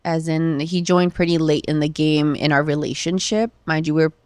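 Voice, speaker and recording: A woman's voice: deep voice, fairly even intonation, moderate pace, darkish tone, dry recording. A woman in her thirties from Los Angeles, a podcast guest talking candidly and emotionally, with lots of filler words. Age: 30s. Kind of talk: casual conversational interview.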